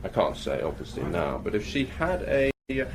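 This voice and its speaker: Low-voiced, cautious male doctor. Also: huge pitch swings, moderate pace, background noise, heavily acted. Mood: cautious, musing. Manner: hesitant, thinking aloud. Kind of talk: naturalistic radio drama dialogue.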